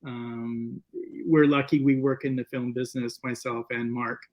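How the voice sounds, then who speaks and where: Canadian man in casual interview, mid-pitched. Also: level tone, unhurried, a little mellow, rough recording. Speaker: guest filmmaker.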